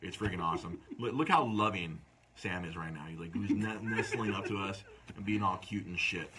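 A man hosting a live podcast stream from a comic store, loud and joking. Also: sits low, a little fast, a little hiss or hum. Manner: loud, joking, plugs events. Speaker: podcast host. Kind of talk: casual live-stream chat.

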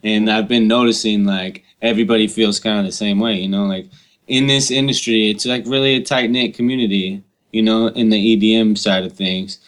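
A man with a fairly low voice hosts a casual podcast conversation. His talk is informal and slangy, full of small conversational fillers. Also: steady tone, brisk. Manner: informal, slangy, repeats "you know" and "like".

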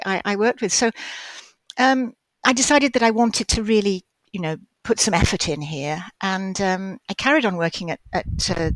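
A woman's voice: mid-range voice, no room sound, low-quality recording. An older woman from Wales, a guest in a podcast interview, chatty and self-deprecating, often stammering and restarting her phrases. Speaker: guest, medical educator.

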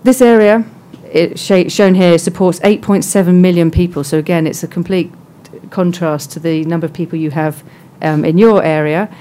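A soft-spoken woman giving a measured conference presentation with frequent pauses. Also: fairly low pitch, fairly even intonation. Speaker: conference speaker. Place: conference hall.

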